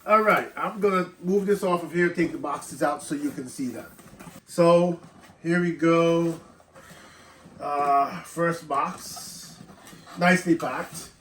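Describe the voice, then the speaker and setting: A man's voice: on the high side, slow, fairly bright tone, a little hiss or hum. A man with a slight cold and a stuffed-up voice, casually walking through an unboxing and reading product specs aloud before explaining them. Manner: reads specs off the box, then explains in loose asides. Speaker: tech reviewer.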